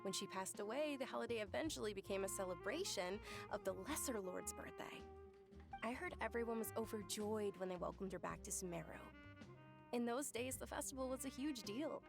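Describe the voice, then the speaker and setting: A woman voicing a gentle, earnest young woman telling her story softly and reflectively in a video game. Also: mid-range voice, animated, brisk, vocal fry, music in the background. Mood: disappointed.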